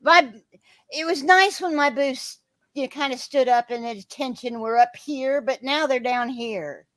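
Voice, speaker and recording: A woman's voice: sits high, unhurried, a bit bright, no room sound. A woman, chatting candidly on a group live stream and laughing often. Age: 60s. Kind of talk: casual group video chat.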